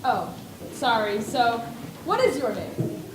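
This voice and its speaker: A woman's voice: a little high, very animated, slow pace, echoey room, recorded from a distance, noisy background. A woman playing a fussy, touchy male egg in an amateur stage play. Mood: huffy. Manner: huffy, prim, easily offended.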